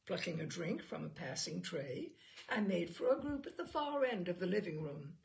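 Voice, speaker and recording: A woman reading literary humour aloud in an expressive, theatrical and wryly amused manner. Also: fairly low pitch, moderate pace, gravelly. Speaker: reader of humour stories.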